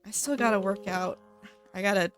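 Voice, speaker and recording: A woman's voice: mid-range voice, fairly even intonation, moderate pace, faint music behind. A woman streaming from her home setup on a desk mic, chatting casually with her viewers and thanking subscribers, a little stuffy from a cold. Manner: chatty, upbeat, talks to chat and thanks subscribers. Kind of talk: casual live-stream chat. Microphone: desk microphone with headphones.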